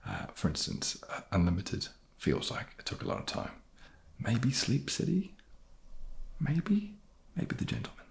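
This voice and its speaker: A man chatting softly in an ASMR question-and-answer style, with a low gravelly voice and a hesitant, dry, self-deprecating manner. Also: big pitch swings, measured pace. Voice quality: low, gravelly, soft-spoken. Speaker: ASMR video creator and host. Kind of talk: soft-spoken ASMR question-and-answer chat.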